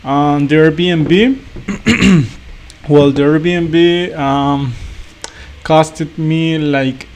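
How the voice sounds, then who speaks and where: A man's voice: fairly high pitch, fairly even intonation, drawn-out words, pauses often, darkish tone, clear, resonant tone. A man from El Salvador, speaking English as a second language, giving a casual travel review with many fillers, restarts and apologies. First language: Spanish. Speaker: travel vlogger.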